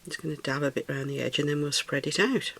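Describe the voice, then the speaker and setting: A British craft tutor talks through a resin project in a relaxed, rambling way and fondly admires each pigment. The voice is a bit stuffed up and snuffly. It carries a gravelly fry. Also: medium pitch, fast. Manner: chatty and rambling, thinks aloud, coos over materials. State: slightly snuffly, recovering from a cold. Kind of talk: casual hands-on craft tutorial.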